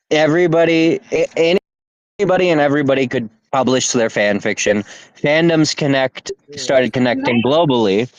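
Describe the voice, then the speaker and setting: A man's voice: medium pitch, dry recording, highs cut off. A man co-hosting a casual podcast chat, talking in a laid-back, rambling way and laughing often. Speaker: podcast co-host.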